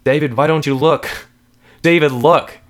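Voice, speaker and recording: A man's voice: fairly high pitch, steady tone, normal speed, pitched above the performer's own voice, natural voice. A man voicing the anguished, accusing ghost of a murdered wife in a horror story, pleading and demanding in repeated cries. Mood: desperate pleading. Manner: pleading and screaming, repetitive, demanding.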